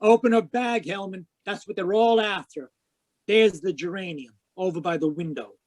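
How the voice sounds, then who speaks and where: An actor playing a world-weary, wisecracking private detective in 1940s radio noir style. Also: male voice, high-pitched, moderate pace, no echo. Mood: terse, cynical. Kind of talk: 1940s radio noir acting, first-person narration. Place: home room, live video call performance.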